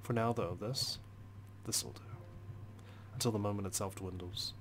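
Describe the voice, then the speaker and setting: A man reading first-person game narration aloud as a let's play host, expressive, with dramatic, deliberate phrasing. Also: lowish voice, slight background noise. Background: music.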